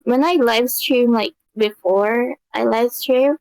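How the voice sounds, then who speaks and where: A woman's voice: takes it slowly, creaky, a little mellow, no room sound, low-quality recording. A young woman chatting casually on a live stream, rambling and giggling often. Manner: giggly, rambling, high-pitched.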